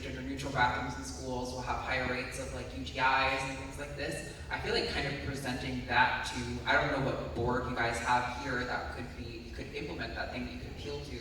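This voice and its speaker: A man's voice: medium pitch, pushed and bright, slight background noise. A man presenting and taking audience questions in a loose, hedging way, with many restarts. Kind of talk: casual Q&A talk. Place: lecture room with audience. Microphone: phone camera at a distance. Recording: echoey hall, phone from far off.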